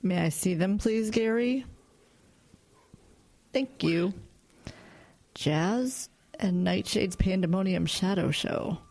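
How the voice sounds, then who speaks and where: A woman's voice: mid-range voice, slow, gravelly, pauses often, a little mellow. An actress playing a strict but caring mother of two teenage sons, speaking in a firm, parental way.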